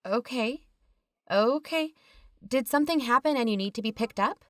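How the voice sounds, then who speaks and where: A level-headed, caring friend in an audio drama. Her voice is a bit high, and her delivery is plain-spoken and conversational, the peacemaker of the group. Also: animated, moderate pace, fairly bright tone, no room sound. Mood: worried, soothing. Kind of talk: scripted audio fiction dialogue.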